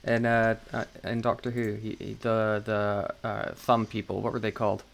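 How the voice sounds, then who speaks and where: A man's voice: on the low side, completely flat delivery, moderate pace, vocal fry. A man co-hosting a casual podcast, talking in a rambling, scatterbrained way and swearing freely. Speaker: podcast co-host.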